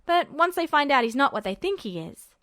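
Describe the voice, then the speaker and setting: A woman's voice: high-pitched, sing-song, brisk, bright, forceful tone, dry recording, clean studio sound, full character voice. A woman voicing a stubborn, cocky teenage older brother with a casual, brusque manner in an audiobook story. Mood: cool, brusque. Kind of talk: audiobook character voice.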